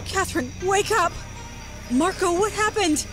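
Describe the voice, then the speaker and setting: A woman's voice: animated, unhurried, music in the background. An actress playing a frightened, pleading woman in an audio drama, panicked and exclaiming. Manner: high, panicked, breathless exclamations.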